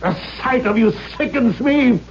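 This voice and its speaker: An actor playing a hate-filled, menacing man with halting, seething speech in a 1970s radio drama. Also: very high-pitched. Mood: seething loathing. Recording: muffled, noisy 1970s radio recording.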